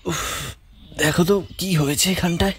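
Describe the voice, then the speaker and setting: A young village grandson played by a man in an audio horror drama, complaining in pain. The voice is slightly high. There is faint noise behind it. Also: steady tone, moderate pace, a bit bright. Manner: pained complaining.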